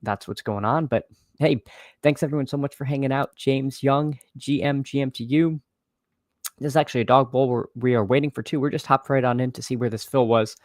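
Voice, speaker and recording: A man with a slightly froggy, hoarse voice chatting casually as a fantasy football livestream host, haltingly repeating phrases as he thinks aloud. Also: medium pitch, fairly even intonation, dry recording, clean studio sound. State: slightly hoarse, froggy after losing his voice at a game. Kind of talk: casual livestream chat and sports commentary.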